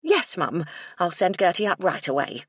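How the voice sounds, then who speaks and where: A woman's voice: lowish voice, big pitch swings, normal speed, slightly rough, dry recording, clean studio sound. A woman voicing a stern, bossy English housekeeper in a story. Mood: dutiful.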